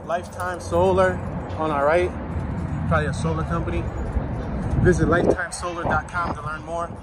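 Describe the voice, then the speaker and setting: A man's voice: a little high, level tone, brisk. A man in his early thirties giving a casual walking tour, speaking informally and reading out signs and street names as he goes. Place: city sidewalk outdoors, while walking. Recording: outdoor street traffic and ambient noise.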